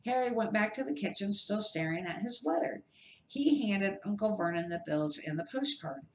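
A woman's voice: mid-range voice, animated, moderate pace, fairly bright tone, a little hiss or hum. A middle-aged woman reading a children's novel aloud as an amateur, careful and sometimes stumbling over the words. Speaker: amateur storybook reader. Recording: echoey, roomy sound.

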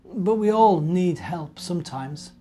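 A man's voice: high-pitched, expressive, a little slow, warm tone. A British man in his forties, a minister, chatting simply to young children as a storyteller and asking them questions. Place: living room indoors.

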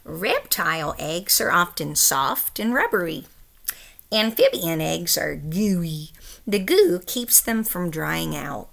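A middle-aged woman reads a picture book aloud like a teacher. Her voice is bright, with a touch of creak, and she takes her time over each carefully stressed phrase. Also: lowish voice, slow. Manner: careful, expressive, emphatic phrasing for young listeners. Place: small room at home, indoors.

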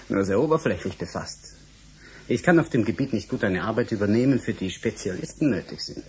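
An actor playing a polite, evasive academic official in a German radio drama, formal and measured. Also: male voice, lowish voice, slight background noise, natural voice.

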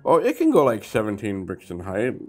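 A man hosting a casual toy review vlog, chatty and easygoing, with sudden bursts of excitement. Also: fairly low pitch, fairly quick, faint music behind.

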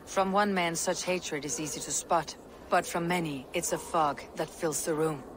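A woman's voice: sits low, normal speed, close to an everyday voice. A woman playing a stern, self-assured, defiant Viking warrior in a video game, with terse, confident lines. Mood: grim resolve. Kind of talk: dramatic video game acting. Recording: noisy ambience behind the voice.

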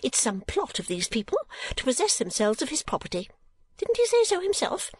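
A woman reading an audiobook, voicing a fussy, excitable middle-aged mother who talks with shrill, insistent, swooping emphasis. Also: fairly quick, husky, no room sound, exaggerated voice. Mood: indignant. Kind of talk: audiobook character voice.

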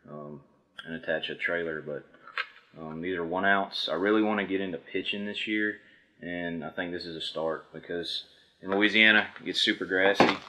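A man's voice: low-pitched, bright, forceful tone, low-quality recording. A man in his thirties hosting a fishing video, talking casually and matter-of-factly to camera as he goes through products. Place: indoors at a table before a backdrop.

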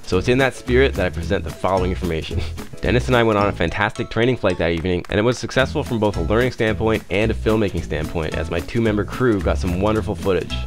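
A man who hosts a flying vlog, giving scripted voice-over narration as a student pilot. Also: on the low side.

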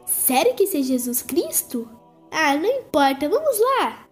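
A woman's voice: sits high, big pitch swings, unhurried, faint music behind. A woman with a Brazilian accent voicing an eager young cartoon adventurer.

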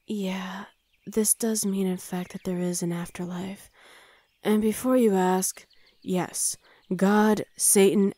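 Soft, mellow demon girlfriend, acted by a woman. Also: mid-range voice, no room sound, close to an everyday voice. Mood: matter-of-fact, teasing. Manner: hesitant, soothing, often dropping to a breathy whisper. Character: flustered, gentle young female demon hell knight girlfriend. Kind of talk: intimate ASMR roleplay addressed to the listener.

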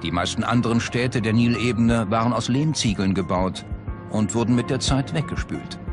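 A man's voice: mid-range voice, normal speed. Male documentary narrator over prominent music. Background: background music.

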